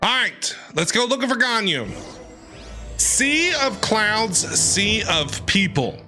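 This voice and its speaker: A man streaming a game, reacting with quick jokes and animated quips. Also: high-pitched, over music. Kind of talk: reaction commentary over a game.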